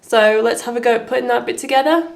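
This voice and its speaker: A woman with a slightly hoarse voice giving step-by-step piano instruction as the host of a tutorial. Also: a little high, quick pace. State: slightly hoarse from having been unwell. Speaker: piano teacher and video host. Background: piano playing.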